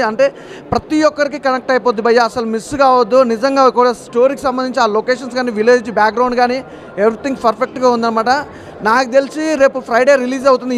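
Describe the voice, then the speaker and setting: A man with a Telugu accent giving an effusive, enthusiastic film review as a moviegoer. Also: very high voice. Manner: effusive, gushing.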